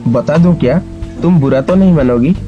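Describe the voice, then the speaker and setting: A man as a caring, higher-voiced young office boss. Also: normal speed, highs cut off, close to an everyday voice. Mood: romantic, confessing. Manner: gently insistent, reassuring. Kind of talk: animated storybook dialogue. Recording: music and rain behind the voice. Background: music, rain.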